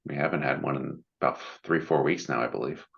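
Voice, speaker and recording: A man's voice: a bass voice, fairly even intonation, fast talker, creaky, a bit bright. A man giving his input in a work meeting over an online call. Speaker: committee member in a technical meeting. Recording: muffled, dull call audio.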